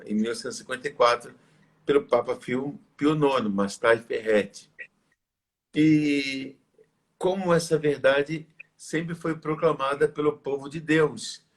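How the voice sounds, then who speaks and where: A tired, slightly hoarse male priest, leading prayers and preaching in a reverent, measured way. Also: mid-range voice. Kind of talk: live religious talk and prayer.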